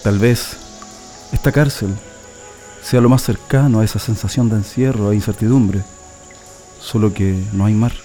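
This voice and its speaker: An actor as a mellow, nostalgic Chilean militant. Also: male voice, lowish voice, soft background music, lightly acted. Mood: solemn, nostalgic. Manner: reflective, unhurried storytelling with dramatic pauses. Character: male political prisoner, proud nostalgic communist militant, immigrant's son. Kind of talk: radio drama storytelling.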